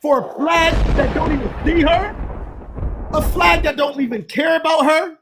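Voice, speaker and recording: A man in his fifties with a notably high speaking voice. He speaks as a guest on a political livestream, earnest and emphatic, leaning into each point like a preacher. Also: a little slow, noisy background. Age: 50s. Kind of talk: impassioned political livestream talk.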